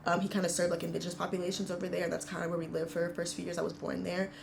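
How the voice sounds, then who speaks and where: A woman in her twenties from the United States, telling her family story in an earnest, reflective interview, pausing often as she goes. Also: lowish voice, completely flat delivery, gravelly, slight background noise. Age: 20s. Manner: earnest, reflective, halting with frequent fillers. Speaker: interviewee, university student. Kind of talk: casual personal storytelling.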